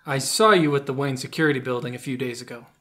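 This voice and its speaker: A man's voice: mid-range voice, fast, pushed and bright, close to an everyday voice. A man acting an earnest, wary young hero in a fan audio drama. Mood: probing.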